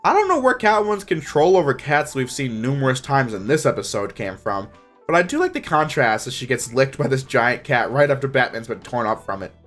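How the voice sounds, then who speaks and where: A man's voice: medium pitch, big pitch swings, fairly quick, a bit bright, faint music behind. A young man narrating a scripted but casual video review in an informal, opinionated way.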